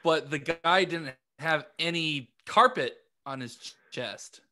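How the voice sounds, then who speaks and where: Bright higher guy voice, casual podcast banter, super slow talker. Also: slight background noise. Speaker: podcast panelist.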